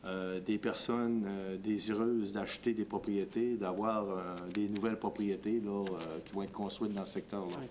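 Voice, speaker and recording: A city planner with a Québécois accent who explains things haltingly, with many fillers. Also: male voice, on the low side, fairly even intonation, darkish tone, slight background noise. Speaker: city planner being interviewed. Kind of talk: interview clip.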